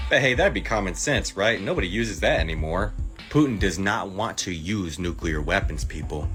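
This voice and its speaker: A deep, low-pitched man talking straight to the camera in an insistent, sarcastic rant. He piles up rhetorical questions and keeps pressing his point. A faint bed of music sits under his voice. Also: fairly bright tone. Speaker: TikTok commentator. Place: clip played within a compilation.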